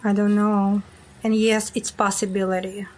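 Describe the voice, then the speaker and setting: A woman playing a brash, sharp-tongued secret agent on the run in an audio drama, blunt and sardonic. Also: mid-range voice, level tone, moderate pace, natural voice, rough recording. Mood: fuming, blunt.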